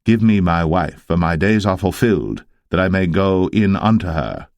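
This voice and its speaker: Earnest biblical shepherd, male reader acting: forceful, declamatory, low register, archaic diction. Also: expressive, normal speed, no echo. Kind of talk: dramatised scripture reading.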